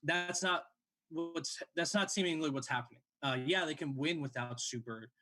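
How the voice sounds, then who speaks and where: Bright-voiced young man talking sports. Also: a little high, moderate pace. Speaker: sports show host. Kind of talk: casual sports analysis.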